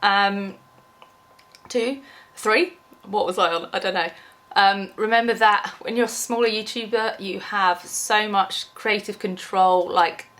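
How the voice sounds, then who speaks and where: A woman's voice: mid-range voice, a little slow, fairly bright tone. A young woman with a cold, chatting in an unscripted, rambling vlog, full of ums and restarts. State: has a cold, losing her voice. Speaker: small YouTuber.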